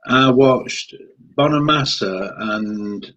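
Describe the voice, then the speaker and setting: A man's voice: medium pitch, drawn-out words, fairly bright tone, muffled recording. A man co-hosting a live chat stream, teasing and joking while reading out comments. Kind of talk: casual live-stream banter.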